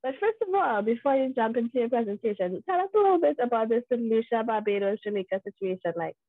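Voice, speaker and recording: A woman's voice: a little high, expressive, fast talker, dry recording. Woman host, chatty intros, tinny phone-like audio, dry sound. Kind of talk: casual moderator chat.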